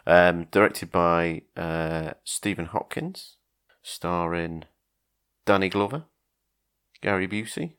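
Low gravelly guy podcasting about movies, lots of ums and restarts. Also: takes it slowly, a bit bright. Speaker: podcast host. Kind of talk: casual film discussion podcast.

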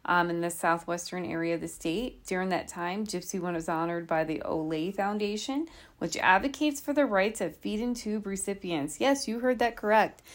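A woman's voice: medium pitch, normal speed. A woman hosting a podcast, telling a true crime case in an informal, conversational way with asides and rhetorical questions. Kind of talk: conversational storytelling from notes.

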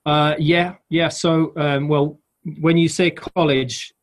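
A man's voice: a little high, flat delivery, takes it slowly. A man in his forties from Yorkshire with a Yorkshire accent, chatting as a guest in a rambling, self-deprecating way with wry asides. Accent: Yorkshire English. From Yorkshire, England. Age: 40s. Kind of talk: casual interview chat.

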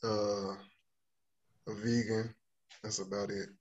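A man's voice: fairly low pitch, little pitch movement, slow pace, a little mellow. A man in his late twenties introducing himself on a podcast in a laid-back, mumbling casual chat. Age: 20s. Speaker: podcast co-host.

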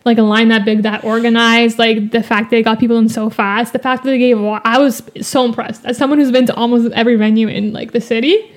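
A woman's voice: on the high side, fairly even intonation, brisk. A young woman co-hosting a casual chat, gushing with lots of fillers.

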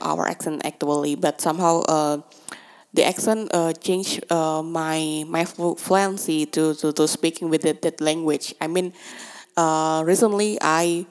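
A woman talking casually, her first language Indonesian, speaking hesitant English with many fillers and restarts and laughing often. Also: sits low. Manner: switches between Indonesian and English mid-sentence. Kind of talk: casual monologue.

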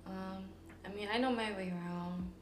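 A young woman from Philadelphia, a guest chatting with her best friend, telling stories in a relaxed way and laughing often. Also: medium pitch, normal speed, music in the background. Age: young adult. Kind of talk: casual chat. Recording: echoey room, background noise.

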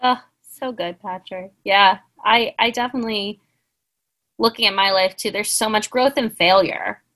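A woman's voice: mid-range voice, normal speed, bright, forceful tone. A woman, co-hosting a casual podcast in a chatty, self-deprecating way. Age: 20s. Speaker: podcast co-host.

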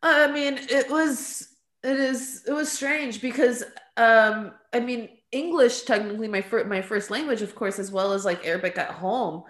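A woman's voice: a little high, normal speed. A woman, a podcast guest and artist, telling stories of growing up in a rambling, casual way, dropping "like" and "um" and laughing often. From California and Lebanon. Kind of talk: casual storytelling in an interview. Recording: roomy echo.

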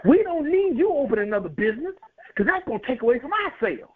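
A man preaches with a very high, lively voice that swings widely as he drives home repeated phrases. The sound is tinny and narrow, like a broadcast over a phone line. He speaks in a rhythmic, emphatic sermon cadence. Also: fast.

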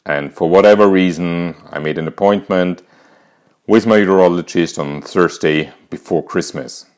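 A man in his fifties with an Austrian accent, hosting a podcast and calmly telling a candid personal story. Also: deep voice, moderate pace. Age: 50s. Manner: calm, reflective, candid. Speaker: podcast host.

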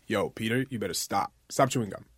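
A man in his mid-twenties hosting a podcast, speaking in a relaxed, plain and slightly scatterbrained way. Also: on the low side, fast talker, husky, dry recording, studio quality. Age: 20s. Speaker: podcast host. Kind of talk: casual podcast chat.

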